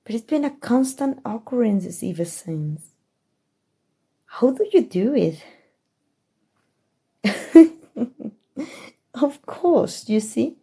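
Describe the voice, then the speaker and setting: Woman playing a slow, breathy, scheming evil queen. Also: fairly high pitch, sing-song, mellow, full character voice. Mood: amused, plotting. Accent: Mexican. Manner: slow, measured, musing delivery addressed to a listener. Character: haughty, scheming evil queen, playfully condescending. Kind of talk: ASMR roleplay monologue.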